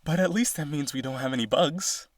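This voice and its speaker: A man's voice: fairly high pitch, fairly quick, dry recording, lightly acted. Man playing a genteel, insistent vampire aristocrat, close-miked. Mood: brooding, lonely. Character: lonely, boastful rich vampire brother, possessive yandere suitor. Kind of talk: roleplay audio drama.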